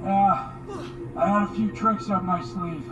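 A man's voice: high voice, fairly even intonation, a little slow, dull audio, music in the background. An actor voicing a modest, awkward, friendly man surviving a zombie apocalypse in a game, hesitant and folksy. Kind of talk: video game character acting.

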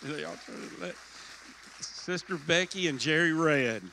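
An older man giving church announcements on a sanctuary stage, folksy and halting, on a handheld mic. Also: on the high side, gravelly, very noisy. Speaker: pastor.

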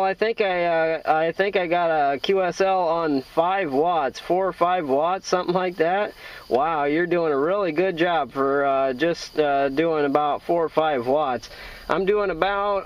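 An American man talking as a radio operator, trading call signs in a halting, folksy, friendly way. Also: fairly high pitch, distant mic. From Iowa, United States.

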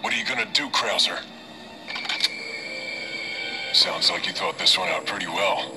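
An actor playing a defiant, dry-witted young action hero in a video game, confrontational and cocky. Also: male voice, sits low, brisk, lightly acted. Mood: cocky, taunting. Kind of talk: dramatic video game voice acting. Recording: loud music over game clips.

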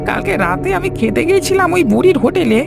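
Creaky, animated, suspicious villager by an actor. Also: very high-pitched, fast, full character voice. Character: suspicious villager snooping on a haunted eatery. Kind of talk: horror cartoon voice acting. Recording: music behind the voice.

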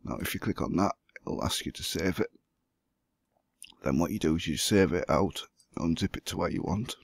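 A hoarse man who has the flu, walking through a computer setup step by step as the host of a gaming channel. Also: low-pitched, normal speed, no room sound, studio quality. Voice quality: gravelly, husky. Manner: plain step-by-step explaining. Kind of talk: screen-recorded tutorial.

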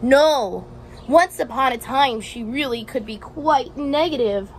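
A young woman teacher reading a picture book aloud to children outdoors, expressive and encouraging, with questions and exaggerated emphasis. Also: high-pitched. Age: young adult. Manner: expressive, asks questions and pauses for answers. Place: outdoors on a house porch. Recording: faint outdoor background noise.

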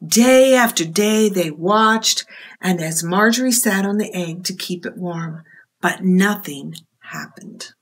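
A woman in her fifties reading aloud at storytime for young children, expressive and deliberate, in a small room. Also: on the low side, husky.

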